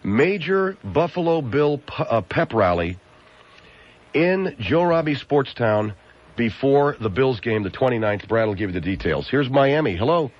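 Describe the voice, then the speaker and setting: A man hosting a radio talk show, teasing callers and quick with jokes. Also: mid-range voice, lively intonation. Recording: dull radio broadcast sound.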